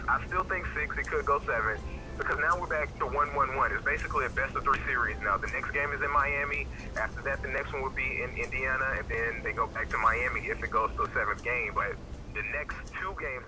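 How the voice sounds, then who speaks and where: A man giving casual sports commentary in a relaxed back-and-forth radio discussion. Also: mid-range voice, quick pace, noisy background.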